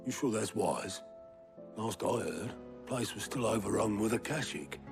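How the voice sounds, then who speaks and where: A weathered fantasy game ally, with clear music behind him. The voice is rather low and gravelly, and he talks in a wry, weary, understated way. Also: animated, unhurried. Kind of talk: dramatic video game cutscene acting.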